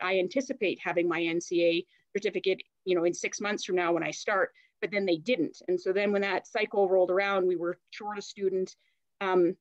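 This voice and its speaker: A woman's voice: mid-range voice, no room sound, muffled recording. A woman recruitment manager on a panel, speaking in a practical way with some fillers over a video call. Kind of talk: panel discussion.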